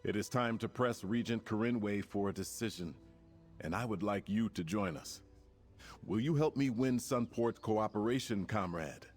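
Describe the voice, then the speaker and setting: An actor playing a resolute, formal male commander in a fantasy video game, speaking in a measured, stately way over music. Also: on the low side, natural voice. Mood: grave, determined. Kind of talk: scripted game dialogue.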